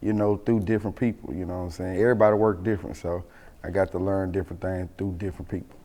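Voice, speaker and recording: A man's voice: low-pitched, fast talker, a little hiss or hum. Southern man storytelling in a reverberant room. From born in Mississippi, raised in Atlanta. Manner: animated, slangy, repeats "you know what I'm saying". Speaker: rap group member being interviewed. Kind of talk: casual storytelling chat.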